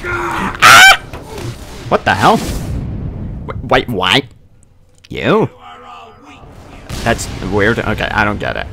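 A man's voice: fairly low pitch, huge pitch swings, slow, vocal fry, long pauses, very noisy. A man giving casual game commentary, grumbling, blurting exclamations and mimicking game lines. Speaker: gamer making a gameplay video. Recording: game music and sound behind voice. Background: video game music and effects.

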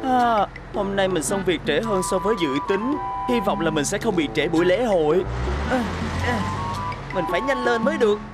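A woman voicing a kind, eager, boyish little bus in a children's cartoon, speaking in an expressive, earnest way. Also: medium pitch, normal speed, natural voice. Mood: worried. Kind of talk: children's cartoon dub acting. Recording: music behind the voice.

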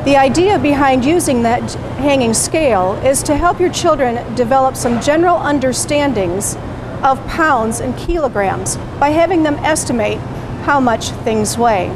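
High-voiced woman teaching in a noisy store. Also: lively intonation, moderate pace. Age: middle-aged. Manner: clear, patient, teacherly. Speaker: parent educator. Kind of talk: instructional presentation to camera. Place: grocery store produce section, indoors.

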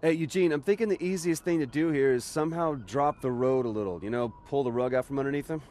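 A man's voice: medium pitch, quick pace, a little mellow, lightly acted. A man playing a cocky, wisecracking young American with superpowers in a video game, casual and sarcastic. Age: young adult.

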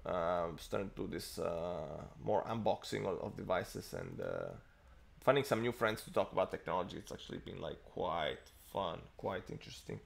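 Chatty man with a gravelly low voice. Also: big pitch swings, normal speed. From Italy. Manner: rambling, chatty, self-interrupting with fillers. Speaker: tech livestream host. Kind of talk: casual livestream chat. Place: home study lined with bookshelves. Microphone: earphone headset mic on a webcam stream.

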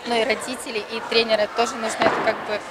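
A woman's voice: on the high side, flat delivery, racing, heavy background noise. A woman in her thirties, an official, answering an interviewer in a busy sports hall. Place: large indoor sports hall. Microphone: handheld reporter microphone.

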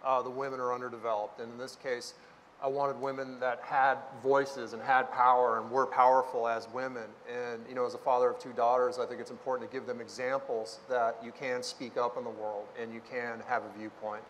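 A man's voice: mid-range voice, little pitch movement, a bit bright. A man talks from a panel stage, earnest and searching for words, often starting a phrase twice. His voice sits in the middle range and carries a long hall echo. A faint hiss sits under it. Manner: earnest, halting with restarts. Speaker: film director at a press panel. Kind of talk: candid panel answers. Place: stage of a large event hall. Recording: echoey hall with faint noise.

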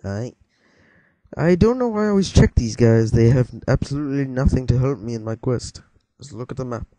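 A man's voice: medium pitch, expressive, brisk, mellow, no room sound, poor audio. Rambling teenage boy gaming at his computer. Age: teens. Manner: rambling, easily startled, joking to viewers. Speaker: gameplay commentator. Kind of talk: casual let's-play commentary.

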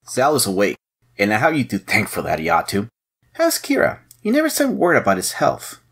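An actor playing a shrewd, suspicious head agent, probing and mocking, in an audio drama. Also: male voice, mid-range voice, wildly swooping pitch, a little fast, fairly bright tone, slightly rough, full character voice. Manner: probing, sardonic.

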